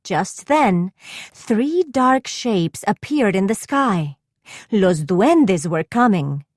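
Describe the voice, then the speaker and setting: A woman narrating a children's storybook read-aloud in an expressive, deliberate storytelling manner. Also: mid-range voice, no room sound, clean studio sound.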